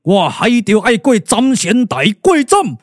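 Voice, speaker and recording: A high-pitched, indignant old immortal sage. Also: male voice, sing-song, a little slow, heavy background noise. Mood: outraged. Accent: Taiwanese. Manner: theatrical, exclamatory. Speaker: puppet theatre narrator and voice performer. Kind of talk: dramatic Taiwanese glove puppetry radio play. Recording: music under the voice.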